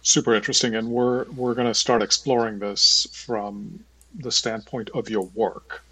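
A man hosting an interview podcast, speaking in a measured, formal way. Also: medium pitch, flat delivery, pushed and bright, far from the mic, rough recording. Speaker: podcast host interviewing a guest. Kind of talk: podcast interview with scripted introduction.

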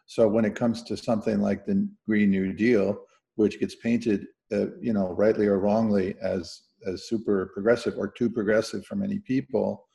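A man with a low, gravelly voice and a soft, dark tone talks as a podcast panelist. His analysis is conversational and measured, with careful qualifications. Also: little pitch movement.

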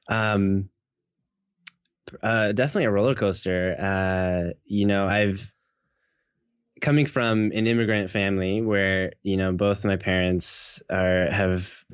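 A young man from Seattle, guest in a casual interview, talking in a relaxed, hesitant way with many fillers and restarts. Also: lowish voice, clean tone, dry recording. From Seattle, United States. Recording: dull, lo-fi audio.

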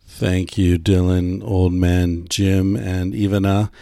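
A podcast host with a very low, gravelly voice talks in a relaxed, musing way, full of little fillers. His tone is dark and mellow, and the recording is dry and close. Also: takes it slowly, no room sound. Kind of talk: casual podcast monologue with ad read.

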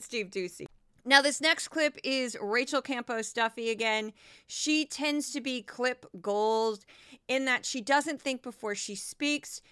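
A woman's voice: on the high side, sharp tone, smooth, dry recording, studio quality. A woman hosting a podcast, giving sarcastic, casual commentary between news clips. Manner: sarcastic, wry. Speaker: podcast host.

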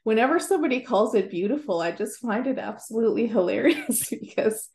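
A woman's voice: fairly high pitch, expressive, fairly quick, a little mellow, echoey room, slight background noise. A woman in her forties with a Canadian accent, a guest writer and Bible teacher talking earnestly in an interview and laughing easily. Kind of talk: interview conversation.

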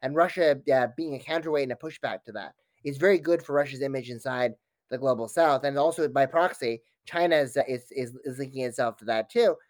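A man's voice: medium pitch, brisk, no room sound. A man speaking as an expert guest in an interview, giving analytical, wordy answers with frequent false starts.

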